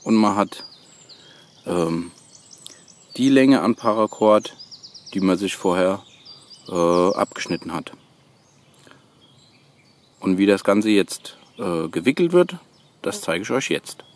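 A man's low voice at a woodland campsite, slowly and haltingly showing a beginner a practical trick while birds sing. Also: expressive. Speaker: host of a bushcraft tutorial channel. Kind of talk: hands-on how-to demonstration. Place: outdoors on a woodland floor, birdsong behind.

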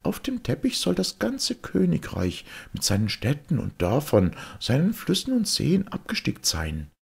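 Man voicing a high-pitched young enchanted wife. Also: wildly swooping pitch, unhurried, gravelly, darkish tone, husky, exaggerated voice. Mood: commanding. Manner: earnest, instructing. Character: beautiful young enchanted wife, caring and commanding.

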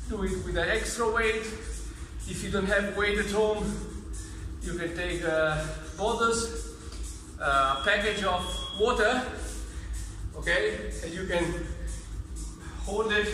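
A high-voiced man, not a native English speaker, coaches a workout while doing it himself. His cues are short and effortful, picked up from a distance in a big reverberant gym. Music plays clearly behind him. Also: takes it slowly, pauses often, pushed and bright. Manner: short cued commands, exerting himself. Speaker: fitness trainer. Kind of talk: workout instruction while demonstrating. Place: large indoor gym with mats. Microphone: camera several metres away.

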